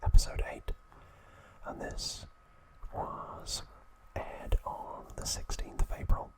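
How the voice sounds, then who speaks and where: A man narrating scripted trivia as an ASMR host in a soft, breathy, unhurried whisper. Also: rough recording.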